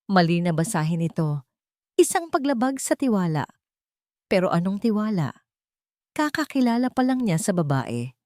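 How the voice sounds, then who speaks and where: A woman storyteller with a Filipino accent narrates a scripted drama. Her voice sits on the low side for a woman. The sound is dry and close, with very little room. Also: a little mellow, no room sound.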